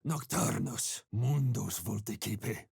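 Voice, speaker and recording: Brief: fantasy game spellcaster, intense declamatory incantations, slow, gravelly and raspy, swinging dramatically in pitch. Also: male voice, lively intonation, darkish tone, no echo, clean studio sound, heavily acted. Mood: solemn, intoning. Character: male fantasy spellcaster calling out Latin-style incantations. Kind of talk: video game spell-casting shouts.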